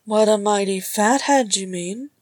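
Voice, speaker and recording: A woman voicing a sharp-tongued female dwarf, a young mother who teases dryly and bosses. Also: a little high, animated, normal speed, husky, dry recording, pitched above the performer's own voice. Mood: dry, scolding. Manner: dry, teasing, bossy.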